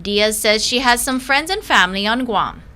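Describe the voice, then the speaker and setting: A woman's voice: on the high side, animated, moderate pace, pushed and bright. A woman narrating a news feature as a reporter, in an upbeat, friendly scripted delivery.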